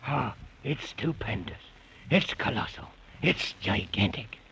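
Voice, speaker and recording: A melodramatic, grumbling animal sidekick. The voice is gravelly and swings broadly, complaining in comic radio style on a dull, noisy old recording. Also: male voice, fairly low pitch, big pitch swings, unhurried, full character voice. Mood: melodramatic complaint. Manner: complaining, says "moida" for murder. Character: grumbling, melodramatic beast of burden Gorgonzola. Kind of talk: old-time radio comic character acting.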